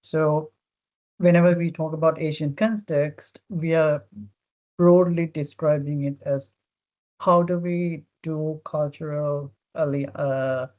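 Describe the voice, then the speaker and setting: A woman from Sri Lanka talks through an idea on a panel, her pitch staying flat and even. She often repeats words while she searches for the next thought. The audio is dull and lo-fi, like a video call. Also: slow. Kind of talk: panel discussion.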